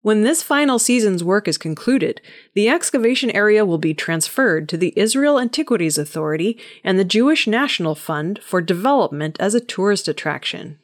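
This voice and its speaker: A woman's voice: mid-range voice, animated, moderate pace. A woman reading scripted audio news stories as a news reader.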